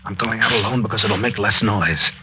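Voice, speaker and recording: An actor with an American accent playing a fearless, commanding adventurer captain in a terse, urgent 1940s radio drama style. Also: male voice, lowish voice, fairly quick, pushed and bright, faint music behind, poor audio. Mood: hushed, commanding. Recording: vintage 1940s radio recording.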